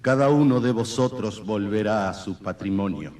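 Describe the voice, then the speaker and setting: Actor as God's distant, commanding voice. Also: male voice, fairly low pitch, darkish tone, natural voice. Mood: grave, commanding. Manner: slow, solemn proclamation. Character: grave, commanding voice of God proclaiming law. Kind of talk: radio drama scripture recitation.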